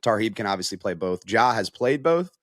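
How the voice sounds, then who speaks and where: A man's voice: fairly low pitch, lively intonation, fairly quick, fairly bright tone, no echo, studio quality. A man giving casual sports analysis, explaining his points step by step in a conversational way.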